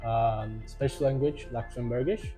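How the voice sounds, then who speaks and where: Brief: male guest, mellow dark tone, laid-back and dry-witted, faint music behind. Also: mid-range voice, moderate pace, poor audio. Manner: dry humor, relaxed, explanatory. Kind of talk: casual chat.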